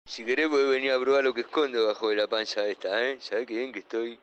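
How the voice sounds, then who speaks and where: A man's voice: medium pitch, steady tone, quick pace, a bit bright, smooth, lo-fi sound, close to an everyday voice. A man playing a boastful, suggestive middle-aged flirt in a comic radio play, with an Argentine accent. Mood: cocky, seductive. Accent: Argentine, Rioplatense. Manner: boastful, suggestive, talks of himself in third person.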